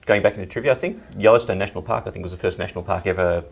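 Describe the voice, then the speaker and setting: A man's voice: low voice, rapid-fire, gravelly. A man speaking casually as a guest on a podcast. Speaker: podcast guest, research analyst. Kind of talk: casual chat.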